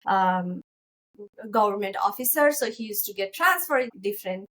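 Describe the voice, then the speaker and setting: This is a middle-aged woman with a Nepali accent. Her voice sits at an average pitch for a woman. She talks in a casual, conversational way, as a physician answering an interviewer's questions. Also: lively intonation, moderate pace, a bit bright. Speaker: guest, physician.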